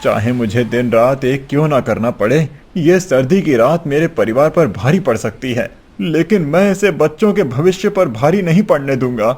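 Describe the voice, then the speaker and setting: A man's voice: medium pitch, expressive, moderate pace, hardly any pauses, a little mellow, slightly rough. A man acting a poor, hardworking, noble-hearted father and labourer in a cartoon moral story, earnest and emotional. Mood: resolute.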